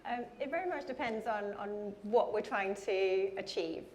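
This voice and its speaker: A woman's voice: mid-range voice, normal speed, darkish tone. Chatty woman on a panel. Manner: chatty, with frequent ums. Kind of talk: conference panel answers. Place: conference hall.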